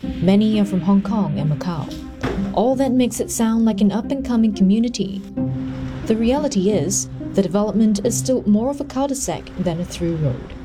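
A woman reading scripted news narration in a measured, even way. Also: medium pitch, over loud music.

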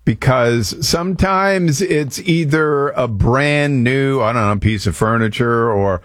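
A man's voice: mid-range voice, slow pace, no room sound, clean studio sound. A man hosting a live radio news talk show, talking in a loose, conversational way. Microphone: studio mic.

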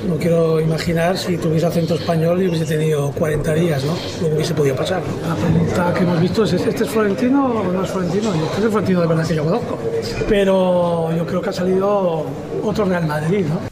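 Higher-voiced man over a loud crowd. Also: fairly even intonation, quick pace, low-quality recording. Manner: ironic, rhetorical asides.

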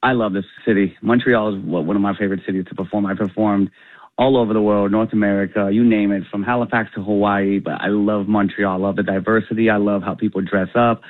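A comedian talking fast and animatedly during a phone interview, laughing often. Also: male voice, fairly low pitch, steady tone, no room sound. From Canada. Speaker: comedian guest. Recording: tinny phone line.

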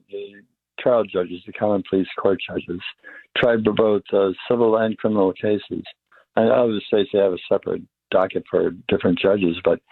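A man hosting a show, interviewing a guest in a conversational, halting way. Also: fairly low pitch, vocal fry, slightly rough, dry recording. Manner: conversational, halting, repeats words. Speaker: radio show host. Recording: phone-like line, dry.

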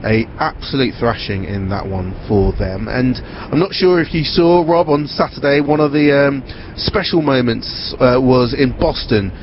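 A male reporter presenting a news segment and interviewing people in the field, speaking in a measured way with small hesitations. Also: medium pitch, animated, dull audio, slight background noise.

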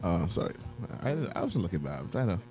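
A man's voice: deep voice, big pitch swings, fast talker, darkish tone, soft background music. A man hosting a live radio show, bantering casually and laughing often.

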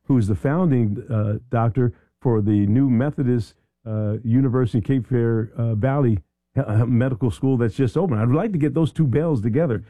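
A man's voice: medium pitch, moderate pace, dark tone, dry recording. A middle-aged man hosting a radio talk show in a conversational interview style.

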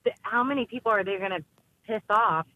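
A woman attorney joins a talk show over a video call. She speaks briskly and with emphasis. A faint hiss of noise sits under her voice. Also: medium pitch, phone-quality sound. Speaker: personal injury attorney. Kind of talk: call-in guest commentary.

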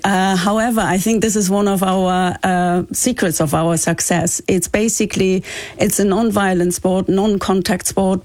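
A woman gives explanatory answers as a guest in a news interview. Her voice is mid-pitched for a woman. The studio recording is dry, with little room sound. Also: darkish tone, dry recording. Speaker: education charity co-founder, interview guest. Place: radio studio.

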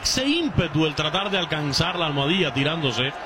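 A man's voice: a little high, very animated, brisk, background noise. A man commenting on baseball for radio in a conversational, analytic way.

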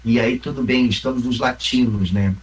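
A man with a Carioca Brazilian accent speaks with a reflective manner. He explains his ideas patiently and backs them with examples. His pitch and energy sit in an ordinary middle range. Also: steady tone, moderate pace, distant mic, a little hiss or hum, rough recording. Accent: Carioca Brazilian Portuguese. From Rio Grande do Sul, Brazil. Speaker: theatre company member, mime actor. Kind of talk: interview answers.

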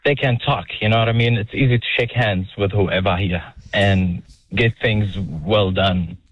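Earnest caller from Saudi Arabia on a muffled line. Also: male voice, on the low side, breathy edge. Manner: earnest, halting, restarts phrases. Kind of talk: casual phone-in chat.

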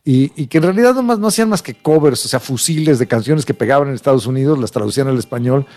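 A middle-aged man hosting a radio entertainment segment in a steady, announcer-like way. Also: mid-range voice, quick pace. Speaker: radio host. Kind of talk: entertainment news chat.

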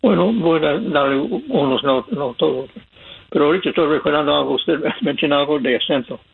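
Gravelly American man on a phone line. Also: on the high side, fairly quick. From United States. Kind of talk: radio call-in advice.

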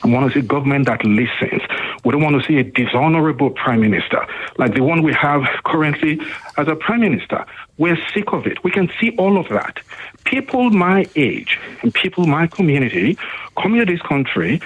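A man calls in to a radio show over a thin, phone-like line, his voice husky and a little breathy. He speaks earnestly and builds his emphasis as he makes his points. He was born abroad. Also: on the high side, lively intonation, normal speed. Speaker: caller. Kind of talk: talkback radio call.

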